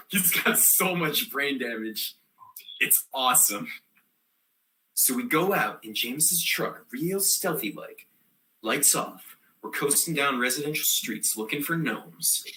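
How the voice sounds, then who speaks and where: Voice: medium pitch, expressive, slow, bright, forceful tone. An actor playing a scatterbrained, defiant theater student telling a comic monologue in slangy, rambling style, given to sudden outbursts. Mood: deadpan, rambling. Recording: echoey room.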